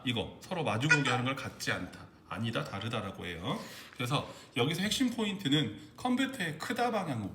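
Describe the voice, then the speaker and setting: A man's voice: medium pitch, sharp tone. A man teaching a recorded exam-prep lesson on spreadsheet formulas, explaining step by step and often asking the class whether they understood. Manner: explains step by step, keeps checking understanding with questions. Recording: echoey room with background noise.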